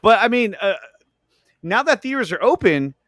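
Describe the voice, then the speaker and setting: High-voiced man in dry, close sound. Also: big pitch swings, moderate pace, fairly bright tone, no room sound. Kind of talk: casual panel discussion on a stream.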